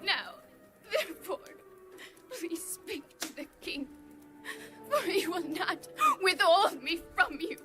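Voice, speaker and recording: A woman playing a wronged young princess in a dramatized audio Bible, imploring slowly and earnestly over music. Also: very high-pitched, animated, exaggerated voice. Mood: pleading. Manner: slow, imploring delivery. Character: pleading, wronged young princess of ancient Israel. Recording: music under the voice.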